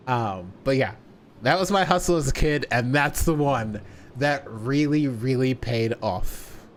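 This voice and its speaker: A man telling a story casually to a livestream audience, deliberately stretching words for emphasis. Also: medium pitch, a little hiss or hum.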